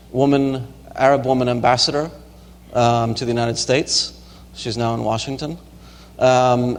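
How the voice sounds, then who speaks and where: A male lecturer answers audience questions in a relaxed, halting way, often pausing on ums and restarting phrases. His voice sits at a middle pitch. The lecture hall adds a long, echoing tail to every word. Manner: hesitant, many ums and restarts. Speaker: university lecturer. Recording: reverberant lecture hall recording.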